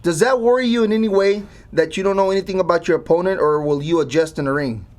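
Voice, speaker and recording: A man's voice: high voice, quick pace. A man interviewing in a casual sports style, asking direct questions conversationally.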